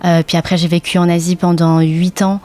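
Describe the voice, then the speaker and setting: A woman from France hosting a casual interview, chatty and relaxed, asking long winding questions. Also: fairly low pitch, brisk. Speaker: interviewer, host.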